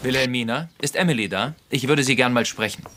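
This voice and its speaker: An actor playing a gentle, devoted friend of an old lady in a crime radio play, considerate and soft-spoken. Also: mid-range voice, fairly quick, slight background noise, lightly acted. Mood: caring.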